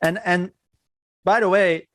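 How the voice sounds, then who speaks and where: A man's voice: sits high, steady tone, slow, fairly bright tone, no room sound. A man in his forties with a Persian accent, who grew up in Iran, hosting a casual podcast chat full of fillers and repeated yeahs. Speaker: podcast host.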